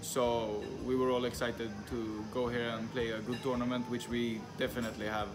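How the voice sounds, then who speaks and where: A young man from Sweden talks in a relaxed way about his tournament, pausing for fillers. Music plays clearly in the indoor lounge behind him. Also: mid-range voice. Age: young adult. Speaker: squash player. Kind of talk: short player interview.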